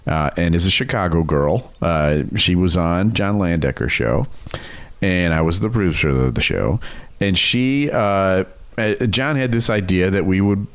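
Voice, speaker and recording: Middle-aged male co-host, deep voice, lively intonation, casual storyteller. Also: no echo. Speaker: podcast co-host.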